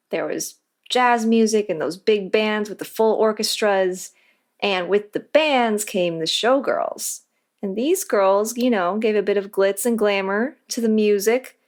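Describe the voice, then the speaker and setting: A woman with a medium-pitched voice speaks as an interview guest. Her delivery is scripted and explanatory, with a conversational touch. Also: unhurried.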